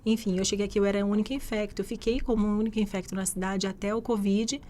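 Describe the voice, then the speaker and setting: A woman with a Carioca Brazilian accent speaks as a medical guest in an interview. Her voice sits at a middle pitch and her delivery is conversational and explanatory. Faint ambient noise sits behind her. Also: fairly even intonation, quick pace, dark tone. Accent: Carioca Brazilian Portuguese. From Rio de Janeiro, Brazil. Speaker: guest infectious disease doctor. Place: live radio podcast interview.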